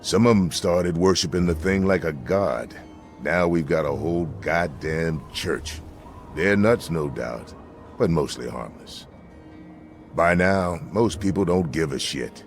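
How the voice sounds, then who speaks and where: Very deep-voiced frontier lawman. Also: unhurried. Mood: wry. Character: folksy, steady frontier town lawman. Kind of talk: video game character dialogue. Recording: music behind the voice.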